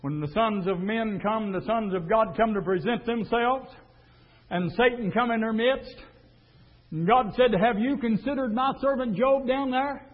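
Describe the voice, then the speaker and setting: High-voiced preacher, tinny sound. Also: male voice, unhurried. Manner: swings from low murmur to shouting. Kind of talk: fiery sermon.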